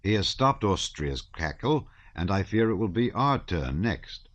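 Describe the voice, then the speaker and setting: A man reading an audiobook voices a witty, mocking older gentleman with a drawling delivery. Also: sits low, big pitch swings, a little slow, no room sound, muffled recording.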